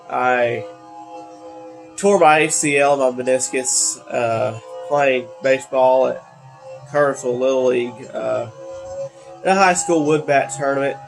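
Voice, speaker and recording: Gravelly congested young man. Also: mid-range voice, over music, poor audio. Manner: rambling, halting, many fillers and restarts. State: congested from allergies. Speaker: gaming video creator. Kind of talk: casual commentary while playing a racing game.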